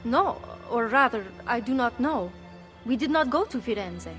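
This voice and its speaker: A proud Italian sister in a video game drama. She is defiant, her voice a bit high, with music clearly behind her. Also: animated, moderate pace, a bit bright. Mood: uneasy.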